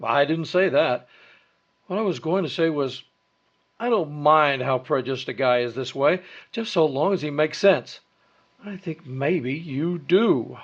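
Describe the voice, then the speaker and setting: An actor playing a blunt, dry police lieutenant in an old-time radio drama, terse and matter-of-fact. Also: male voice, a little high, unhurried, lightly acted. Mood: blunt, considering. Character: blunt, dry, fair-minded male police detective lieutenant. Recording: dull, lo-fi audio.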